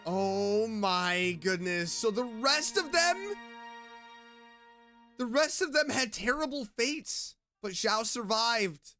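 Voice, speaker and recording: A woman's voice: a little high, animated, takes it slowly, sharp tone. A woman streaming a video game, giving casual reaction commentary as she plays. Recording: game music behind the voice. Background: game music.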